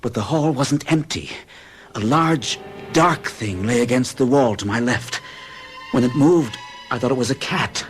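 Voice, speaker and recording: A man's voice: medium pitch, a little slow, soft background music, lightly acted. An actor narrating and playing an earnest, alarmed man in an old radio drama. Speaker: narrator and protagonist. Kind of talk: 1970s radio drama acting.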